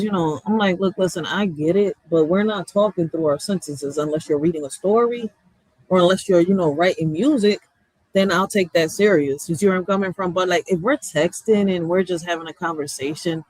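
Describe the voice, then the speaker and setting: A woman's voice: lowish voice, a little fast, slightly rough, no echo, low-quality recording. A woman with a small, girlish voice, chatting casually in blunt slang and often raising her voice as a streamer. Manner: animated, blunt, slangy, raises voice. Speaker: streamer host. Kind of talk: casual reaction chat.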